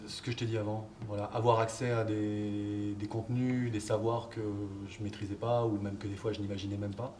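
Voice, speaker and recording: Hesitant man with a low voice. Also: level tone, fairly quick, hardly any pauses, darkish tone. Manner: hesitant, many fillers. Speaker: football coach. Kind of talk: casual interview answers. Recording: distant mic, background noise.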